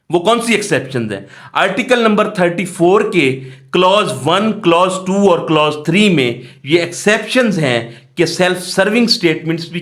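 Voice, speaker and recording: A man's voice: high-pitched, a bit bright. A man with a Pakistani accent giving an explanatory law lecture in a teacherly question-and-answer manner. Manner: asks rhetorical questions and answers them; reads English statute phrases, then explains them in Hindi-Urdu. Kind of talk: explanatory exam-prep lecture.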